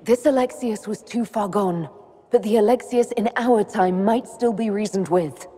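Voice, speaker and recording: A woman's voice: mid-range voice, normal speed, dark tone, husky, soft background music, lightly acted. A woman playing a determined young elf heroine in a video game, level-headed and earnest. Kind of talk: video game dialogue acting.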